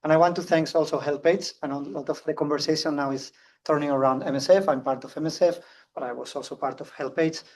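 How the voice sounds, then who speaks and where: A man from Spain with a strong Spanish accent, giving an earnest, fast-paced conference talk with frequent restarts. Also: a little high, fairly even intonation, darkish tone, recorded from a distance, a little hiss or hum. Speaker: conference speaker. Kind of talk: public talk.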